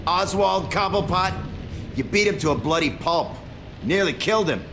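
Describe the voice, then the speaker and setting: An actor playing a sardonic, callous asylum guard in a video game, casual and taunting. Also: male voice, high voice, lively intonation, moderate pace. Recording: loud ambient noise behind.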